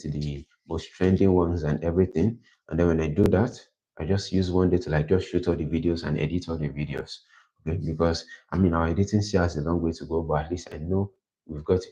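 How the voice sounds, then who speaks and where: A man with a deep voice hosting a livestream, thinking aloud in a casual, rambling way and correcting himself as he goes. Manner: rambling, self-correcting, plans out loud. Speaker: livestream host. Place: quiet indoor room. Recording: dull lo-fi livestream audio.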